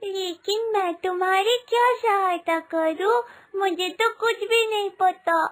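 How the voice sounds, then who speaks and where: A woman's voice: very high voice, takes it slowly. A woman voicing a kind, innocent little village girl in a cartoon, with an earnest manner. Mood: unsure. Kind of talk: Hindi cartoon moral story acting. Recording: music behind the voice.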